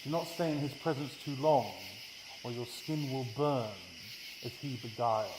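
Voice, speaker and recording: A man's voice: medium pitch, normal speed. British man reciting poetry in a roomy space. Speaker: poet performing his own work. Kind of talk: live spoken-word poetry recital. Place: live at a festival event in a room. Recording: roomy, echoey live event, background noise.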